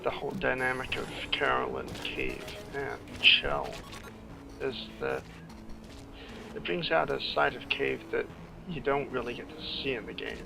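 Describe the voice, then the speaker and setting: Gravelly man giving rambling game commentary. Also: mid-range voice, lively intonation, rough recording. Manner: rambling, halting, trails off mid-thought. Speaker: gameplay commentator, screenwriter. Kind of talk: casual playthrough commentary. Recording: game music behind commentary. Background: video game music.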